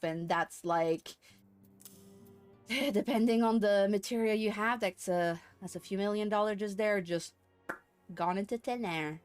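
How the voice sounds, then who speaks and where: Giggly woman streaming a game over music. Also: mid-range voice. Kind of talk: casual live gameplay commentary.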